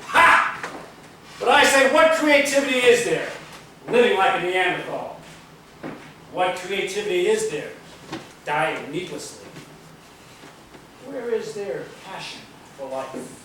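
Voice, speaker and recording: A man's voice: high-pitched, animated, slow, long pauses. A man playing a pompous, ruthless corporate leader in a stage play, speaking in a grandiose, commanding way. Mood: menacing, grandiose. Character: pompous, ruthless male corporate leader plotting against rebels. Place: theatre stage, picked up from a distance. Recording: echoey, noisy stage videotape from far off.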